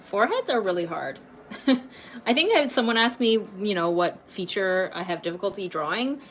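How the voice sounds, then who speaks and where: A woman in her late twenties from Canada, chatting casually with viewers while painting on a livestream, rambling a little and filling pauses with ums. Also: medium pitch, very animated, fairly bright tone, faint music behind. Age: late 20s. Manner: chatty, rambling, laughs often, many ums. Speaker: art livestream host.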